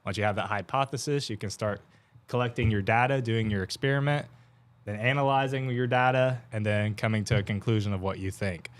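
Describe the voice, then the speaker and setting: Steady low-voiced male educator. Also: fairly quick. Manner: self-described monotone, steady explaining. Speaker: extension educator guest. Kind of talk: explaining on a TV show. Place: TV studio.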